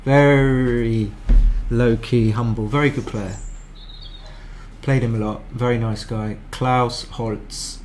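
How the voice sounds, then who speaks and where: A man's voice: mid-range voice, fairly even intonation, slow pace, darkish tone, slight background noise. A man giving casual live commentary on a match as a streamer, chatty and thinking aloud with frequent restarts.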